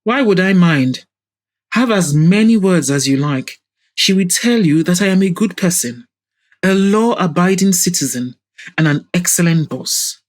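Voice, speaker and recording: A woman's voice: fairly low pitch, lively intonation, measured pace. Lady doing a arrogant middle aged boss man, pompous and sneering, defensive, audio drama style. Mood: dismissive, smug. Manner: pompous, scornful. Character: arrogant middle-aged businessman, defensive, mocking, self-righteous.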